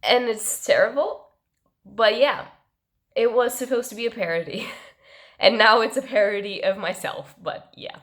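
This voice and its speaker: A woman's voice: fairly high pitch, moderate pace, fairly bright tone. A woman vlogging about books in a casual, rambling, self-mocking way, her voice congested and coming through her nose from allergies. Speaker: book vlogger. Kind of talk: casual chat to camera.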